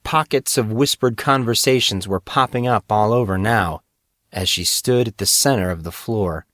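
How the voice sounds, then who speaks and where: A man's voice: on the low side, normal speed, a bit bright, dry recording, studio quality. A man reading scripted fiction as a dramatic audiobook narrator.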